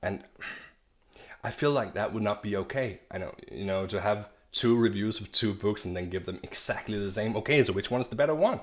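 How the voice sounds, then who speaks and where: A man's voice: fairly low pitch, a little fast. A man from Denmark, giving an enthusiastic, rambling video review of a manga and often restarting his sentences. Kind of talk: casual video review monologue.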